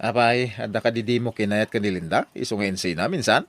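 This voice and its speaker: A man's voice: lowish voice, fairly quick, natural voice. An actor playing a male relative who gives cautious advice in an Ilocano comedy radio drama, expressively delivered. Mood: curious.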